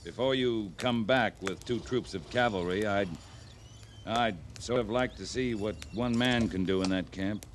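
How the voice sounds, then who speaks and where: An actor playing a calm, authoritative frontier cavalry captain in an old-time radio western, clipped and dryly understated. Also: male voice, fairly low pitch, a little slow, close to an everyday voice. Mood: quietly resolute. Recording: noisy vintage radio drama recording.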